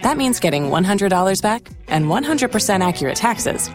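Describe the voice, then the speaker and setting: A woman's voice: mid-range voice, big pitch swings, moderate pace. An American woman doing a scripted commercial voice-over. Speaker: advertisement voice-over. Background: loud music.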